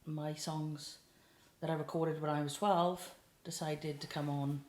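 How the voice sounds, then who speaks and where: A woman's voice: deep voice, a little slow. Chatty Welsh woman in her late twenties. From South Wales. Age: late 20s. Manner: chatty, relaxed, with frequent fillers. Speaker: guest singer-songwriter. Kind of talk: casual interview chat. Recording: roomy echo.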